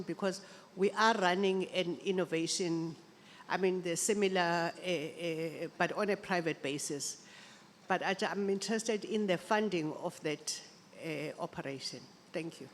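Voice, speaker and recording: A woman with a South African accent asking a question from the floor of a conference hall, with echo around her voice. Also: medium pitch. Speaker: audience questioner. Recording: echoey hall with clear background noise.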